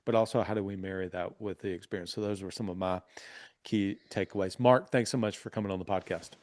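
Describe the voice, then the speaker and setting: A man hosting a business podcast, chatting casually with his guest and reading sponsor plugs in an energetic, affirming way. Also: low voice, quick pace. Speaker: podcast host. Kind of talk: casual interview and ad reads.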